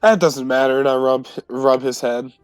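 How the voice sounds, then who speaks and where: A man's voice: medium pitch, moderate pace, clean tone, dry recording, studio quality, faint music behind. A man playing in a tabletop game, casually improvising his character's words and actions.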